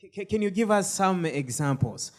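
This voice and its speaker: A man's voice: sits high, expressive, moderate pace. A man moderating a panel discussion, hesitant and full of fillers.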